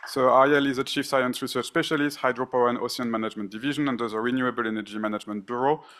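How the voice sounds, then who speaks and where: A man hosts a conference panel from the stage, introducing each guest in turn. He speaks at a middling pitch into a stage microphone and often pauses with "uh" and "um" as he reads the bios. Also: fairly even intonation, a bit bright. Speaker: panel moderator.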